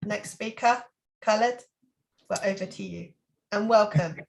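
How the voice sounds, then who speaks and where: A woman's voice: medium pitch, measured pace, creaky, a little hiss or hum, low-quality recording. A woman chairing an online meeting, calling on speakers in a polite, procedural way. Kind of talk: formal moderating of an online meeting.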